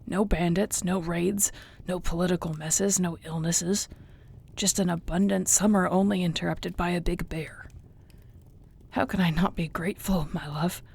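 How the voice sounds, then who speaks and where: A woman playing an affectionate orc warrior girlfriend in an intimate audio roleplay, speaking softly and tenderly with a husky voice. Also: on the low side, fairly even intonation. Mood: calm, reassuring, then tender, grateful. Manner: soft, tender, unhurried, often near a whisper.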